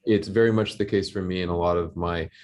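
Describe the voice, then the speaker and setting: A man in his thirties with a low voice speaks as an interview guest. He is a director and physician who gives measured, articulate explanations. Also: steady tone, darkish tone.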